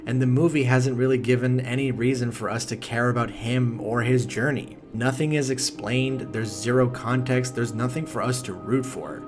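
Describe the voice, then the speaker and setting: A man narrating a film review video essay in a sardonic, exasperated way, swearing freely. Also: mid-range voice.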